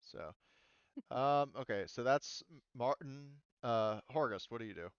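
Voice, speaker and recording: A man's voice: mid-range voice, unhurried, clean tone, dry recording, muffled recording. A man running a tabletop roleplaying game as game master, explaining rules and action casually with some swearing.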